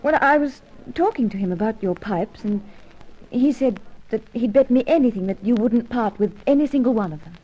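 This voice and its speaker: High-voiced innocent young ingenue. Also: female voice, animated, brisk, mellow. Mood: anxious, earnest. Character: innocent, earnest young woman assistant, shy and eager. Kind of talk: old radio serial acting. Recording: muffled old radio recording.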